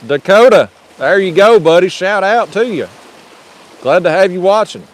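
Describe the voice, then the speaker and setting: A man's voice: high-pitched, animated, normal speed, a little hiss or hum. Laid-back man outdoors. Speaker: livestream host. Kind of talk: casual livestream chat. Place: outdoors on a windy lake bank.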